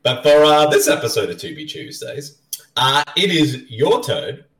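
A man's voice: a little high, lively intonation, measured pace, a bit bright. Aussie guy hosting a podcast, bouncy up and down voice, kinda far from the mic. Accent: Australian. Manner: jokey, chatty, laughs often. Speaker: podcast host. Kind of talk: casual podcast chat. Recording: roomy sound from a distant mic.

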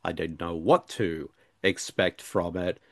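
A man's voice: sits low, steady tone, takes it slowly, dry recording, clean studio sound. A man chatting casually as a podcast co-host in a jokey, rambling way. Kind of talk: casual discussion chat.

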